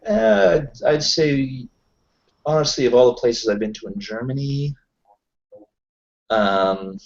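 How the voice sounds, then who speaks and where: A man's voice: medium pitch, slow, vocal fry. Hesitant man roleplaying, muffled lo-fi audio. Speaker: player in an online roleplaying game. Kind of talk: in-character improvised roleplay chat. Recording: dull, lo-fi online voice chat.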